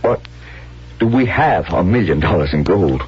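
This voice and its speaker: A man's voice: deep voice, animated, normal speed, darkish tone, husky, full character voice. A man acting a proud, blunt army colonel in a 1970s radio drama, speaking formally and dutifully. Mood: urgent. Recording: muffled vintage radio broadcast.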